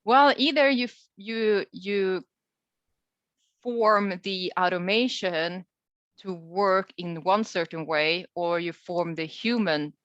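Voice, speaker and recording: A woman researcher answering questions in an informal webinar, speaking thoughtfully and hesitantly with pauses mid-sentence. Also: on the low side, fairly bright tone, dry recording. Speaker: researcher presenting a study as webinar guest. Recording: dull, lo-fi online call audio.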